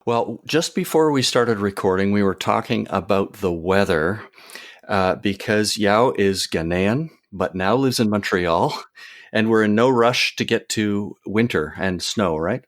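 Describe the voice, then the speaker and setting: A man's voice: on the low side, unhurried. A man hosting an interview podcast, introducing and questioning in a conversational style.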